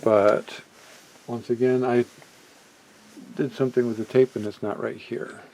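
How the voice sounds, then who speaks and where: Guy doing a casual hobby walkthrough, soft mellow voice, kinda thinking out loud and trailing off. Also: medium pitch, flat delivery, creaky, a little hiss or hum, rough recording. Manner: halting, musing aloud, trailing off mid-thought. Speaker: hobbyist video presenter. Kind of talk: casual unscripted product walkthrough.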